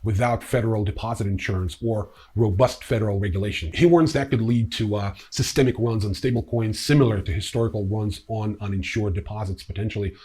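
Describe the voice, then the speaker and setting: Male newsreel host: fairly deep, distinctly husky delivery, reading prepared stories with informal, opinionated commentary. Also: fairly quick, hardly any pauses, warm tone. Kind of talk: scripted news narration with casual opinionated asides.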